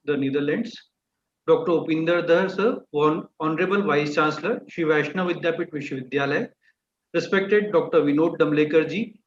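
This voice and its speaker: A man, the event coordinator, giving a formal welcome address and reading out a long list of guests and terms. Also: a little high. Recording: echoey, muffled webinar audio with faint room noise.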